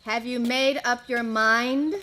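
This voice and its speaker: Playful young gal, slightly high, noisy background. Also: female voice, fairly even intonation, takes it slowly. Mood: teasing. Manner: playful, teasing. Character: young woman, coy and teasing. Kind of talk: staged radio drama.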